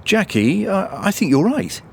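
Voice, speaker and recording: A man's voice: sits high, sing-song, a little slow, creaky, a little hiss or hum, heavily acted. A man playing a blustery, scheming British intelligence agent who dithers and repeats himself in a comedic audio drama. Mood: falsely agreeable.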